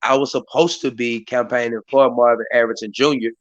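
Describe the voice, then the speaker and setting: A hoarse man hosts a community live stream in a rambling way, full of fillers and restarts. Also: mid-range voice, steady tone, a little fast, dry recording, highs cut off. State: hoarse, voice partly lost. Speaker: community activist hosting a live stream meeting. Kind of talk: casual hosted talk. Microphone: live stream mic.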